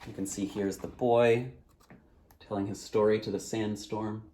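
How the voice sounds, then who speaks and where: A man reading a storybook aloud as its author, in a dramatic, measured narrating style. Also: on the low side, little pitch movement, warm tone, a little hiss or hum.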